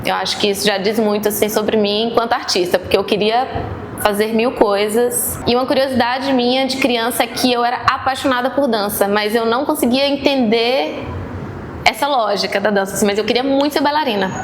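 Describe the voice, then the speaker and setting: Thirties woman from Bahia, documentary interviewee, higher voice, reverberant room. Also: fairly even intonation, fairly quick. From Bahia, Brazil. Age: 30s. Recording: roomy echo, clear background noise.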